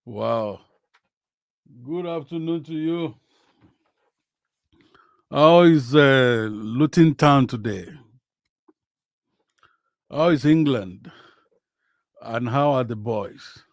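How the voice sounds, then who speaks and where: Nigerian man, Yoruba native, livestream host, unhurried, halting speech, dry close sound. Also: mid-range voice, no echo. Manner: slow, hesitant, many fillers. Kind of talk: casual football talk livestream. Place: home, in Western Australia.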